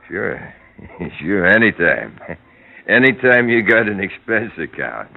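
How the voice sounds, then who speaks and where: An actor playing a shifty, evasive bartender with streetwise, slangy talk in an old radio crime drama. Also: male voice, lowish voice, very animated, slow pace, gravelly, full character voice. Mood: smug. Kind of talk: 1940s-50s radio drama acting. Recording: muffled old radio recording.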